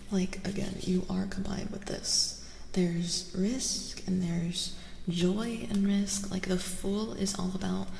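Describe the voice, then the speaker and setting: A woman speaking softly and often whispering as she gives a casual, musing ASMR tarot reading. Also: mid-range voice, gravelly, a little hiss or hum. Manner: hushed, often slipping into whispers. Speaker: streamer giving tarot readings. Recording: roomy reverberant sound. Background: soft music.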